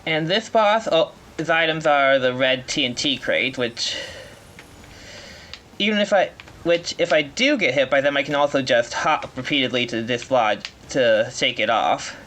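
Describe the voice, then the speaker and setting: A man giving live speedrun commentary, explaining as he plays in a halting way, often rephrasing mid-sentence. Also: medium pitch, pushed and bright, slight background noise. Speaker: speedrunner playing at a marathon.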